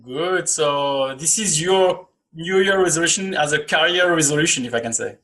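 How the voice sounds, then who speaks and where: Higher-pitched man hosting a podcast, halting phrasing. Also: fairly bright tone, poor audio. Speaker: podcast host. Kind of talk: conversational interview. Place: small indoor room, video call.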